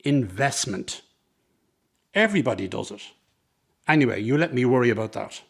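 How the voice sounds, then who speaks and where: An actor plays a sly circus man in a radio play, a smooth talker with a medium-pitched voice. He coaxes and persuades, ingratiating himself as he schemes. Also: expressive, normal speed. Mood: persuasive, scheming. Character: smooth-talking, scheming circus man, mother's old flame. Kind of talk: dramatic radio play dialogue.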